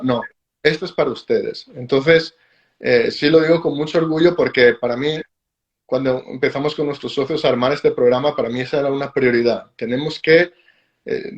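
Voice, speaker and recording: Persuasive man on dull lo-fi call audio. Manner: enthusiastic, persuasive pitch. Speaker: guest. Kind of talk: casual live-stream chat.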